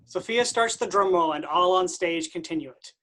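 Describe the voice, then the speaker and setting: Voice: mid-range voice, normal speed, sharp tone, a little hiss or hum. A matter-of-fact reader giving scripted stage directions for a play.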